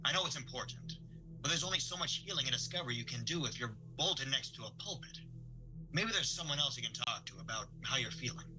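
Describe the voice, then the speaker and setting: A man's voice: mid-range voice, lively intonation, quick pace, over music, close to an everyday voice, low-quality recording. A man playing a polite, articulate, tactful young man in an audio drama. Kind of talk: audio drama table read.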